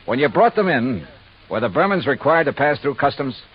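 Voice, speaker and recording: An actor playing a shrewd, hard-boiled insurance investigator in a 1950s radio drama, with a clipped, wry, insistent delivery. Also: male voice, mid-range voice, sing-song, a little fast, husky. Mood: brisk, urgent. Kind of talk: 1950s radio detective narration and acting. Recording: muffled vintage radio recording, music behind.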